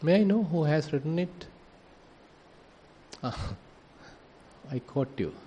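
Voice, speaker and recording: A man with a Sri Lankan accent, a monk teaching in a gentle, hesitant way with soft laughs. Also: a little high, expressive, slow pace. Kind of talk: dharma discussion. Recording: light background noise.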